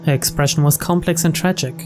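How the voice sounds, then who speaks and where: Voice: medium pitch, fairly even intonation, quick pace, music in the background. A narrator reading out the story text of a visual novel as scripted narration.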